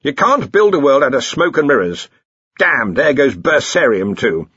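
A male reader acts out a blustering elderly wizard in charge of a magical college. He is brusque and scornful, pitched above plain narration, with animated swings and a dull-edged recording. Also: normal speed, slightly rough, no room sound, heavily acted. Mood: gruff, dismissive. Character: blustering, bossy older male wizard university head.

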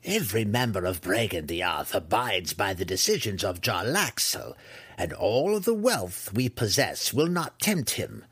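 A man voicing an imperious, scheming, cruel dark elf matriarch in a fantasy audiobook, condescending and wry, drawing out the words. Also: lowish voice, big pitch swings, slightly rough, dry recording, exaggerated voice. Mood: stern, calculating. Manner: condescending, wry, drawn-out delivery.

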